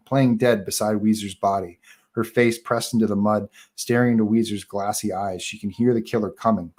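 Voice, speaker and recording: Low-pitched man narrating a script. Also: a little mellow. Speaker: narrator reading stage directions. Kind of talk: scripted narration at a live table read.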